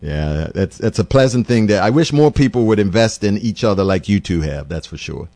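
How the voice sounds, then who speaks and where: A middle-aged man hosting a radio call-in show, listening warmly to callers and then reflecting at length. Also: on the low side, lively intonation, brisk, no room sound. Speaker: radio talk host.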